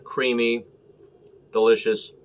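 An American man hosting a casual beer review to camera, talking in halting, clipped short phrases. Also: medium pitch, little pitch movement, noisy background. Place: small room at home, indoors.

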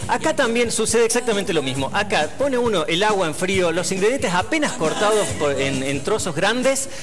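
A man's voice: high-pitched, big pitch swings, moderate pace. A man in his forties with an Argentine accent, presenting kitchen gadgets to a TV panel in a studio, explaining and asking them questions. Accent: Argentine Spanish. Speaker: technology columnist. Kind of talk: live TV morning show segment. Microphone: headset. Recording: broadcast studio with background music.

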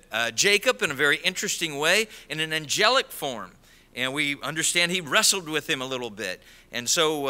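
A middle-aged man preaching a sermon from a church pulpit, animated and gesturing, with frequent "uh" fillers. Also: high voice, clear, resonant tone. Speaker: pastor. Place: large church sanctuary. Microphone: pulpit microphone.

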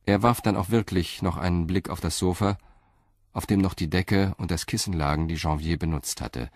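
A man narrating a radio crime play in a calm, measured storytelling manner. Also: low voice, no echo, studio quality. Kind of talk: scripted audio drama narration.

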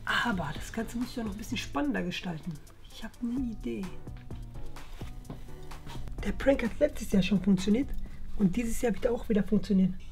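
A woman's voice: medium pitch, fairly quick, pauses often, a little mellow, soft background music, close to an everyday voice. A woman playing a cheeky young schoolboy prankster in a children's comedy skit, sly and blustering. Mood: scheming.

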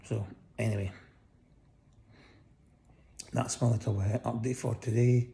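Croaky, gravelly man in a hospital bed. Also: medium pitch, fairly even intonation, warm tone, a little hiss or hum. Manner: lying in bed, tired, talking slowly with many pauses. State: croaky voice after breathing tubes. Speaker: vlogger giving a hospital update. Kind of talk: casual personal video update. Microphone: phone held close above face.

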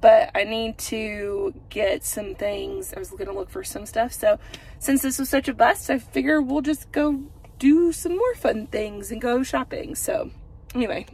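A woman's voice: a little high, a little slow, gravelly, a little mellow, husky, low-quality recording. A woman in her thirties, a home decor vlogger, rambling chattily to her phone from a parked car, with lots of fillers. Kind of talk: casual chat to camera. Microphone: phone camera at arm's length.

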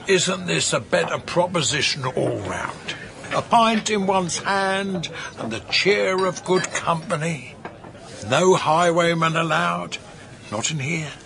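Jovial old-time ghost, creaky voice. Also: male voice, on the high side, slow, crowd noise. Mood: jovial, teasing. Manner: old-fashioned, roguish, breezy turns of phrase. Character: jovial archaic ghost man, a hearty pub drinker. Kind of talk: audio drama acting.

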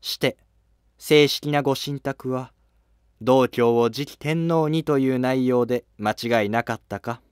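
A man's voice: medium pitch, measured pace, clean tone, no echo, studio quality, natural voice. A man playing a demanding, imperious empress in a historical drama, formal and questioning.

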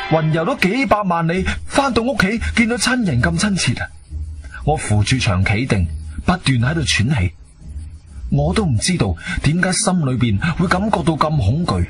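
Animated Cantonese male adventurer narrator. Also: fairly high pitch. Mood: relieved. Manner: expressive, suspenseful, colloquial delivery. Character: intrepid male adventurer telling his strange experience. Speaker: narrator and lead actor. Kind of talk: dramatised first-person storytelling, radio drama. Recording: background music under the voice.

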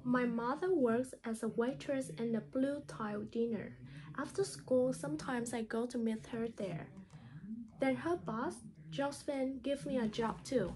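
A woman speaks in careful, halting non-native English, in the style of a teacher reading a children's storybook aloud. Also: on the high side, crowd noise, rough recording.

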